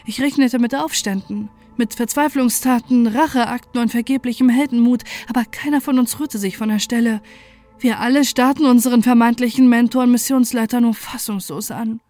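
A woman's voice: fairly high pitch, fairly even intonation, normal speed, warm tone, soft background music, lightly acted. A woman playing a defiant, desperate scientist who narrates a horror story in an intense, dramatic way. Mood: grave narration.

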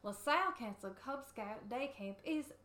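A woman's voice: on the high side, animated, normal speed, a bit bright. A woman playing a chummy, over-the-top announcer in a comic radio advertisement, with a playful hard sell. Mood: brisk selling.

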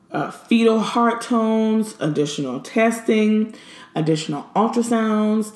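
A pregnant woman in her thirties venting in a casual monologue, emphatic and indignant, slowing down to stress her points. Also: medium pitch, darkish tone, reverberant. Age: 30s. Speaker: pregnant vlogger.

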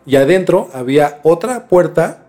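A man's voice: fairly high pitch, expressive, normal speed, warm tone. A man with a Mexican accent telling a story in a casual, slangy podcast chat as host. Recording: music bed behind the talk. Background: music.

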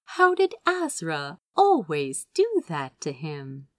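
Woman reading fiction out loud, lowish voice, takes her time, pitch moves around a lot. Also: wildly swooping pitch, takes it slowly, no room sound. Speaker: fan fiction audiobook reader. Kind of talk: expressive scripted story narration.

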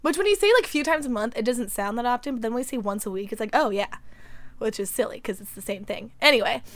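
A young woman with a raspy voice, chatting as a podcast co-host, rambling and giggling with lots of fillers. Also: on the high side, lively intonation, fast talker, a bit bright, no room sound. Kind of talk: casual chat.

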